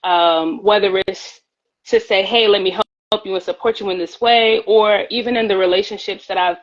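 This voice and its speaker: A woman's voice: medium pitch, steady tone, moderate pace, lo-fi sound. A woman singer talking earnestly as a guest in a casual live-stream chat, often repeating her words. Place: at home, by her pantry. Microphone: phone on a live stream.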